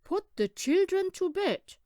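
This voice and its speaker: A woman's voice: very high-pitched, very animated, unhurried, warm tone, no echo, studio quality, voice pushed up, heavily acted. A woman voicing a strict, impatient squirrel father in a children's storybook. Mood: stern.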